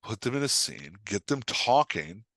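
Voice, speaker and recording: A man's voice: fairly low pitch, moderate pace, no echo. Emphatic man explaining in casual chat. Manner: emphatic, animated storyteller who often checks in with "right?". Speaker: podcast guest, writing coach and author.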